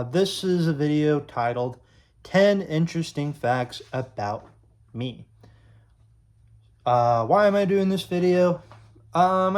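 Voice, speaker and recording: A man's voice: a little high, a little mellow. Rambling young man talking to camera. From Illinois. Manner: rambling, many fillers and restarts. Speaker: YouTube sports creator. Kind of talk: casual talk to camera.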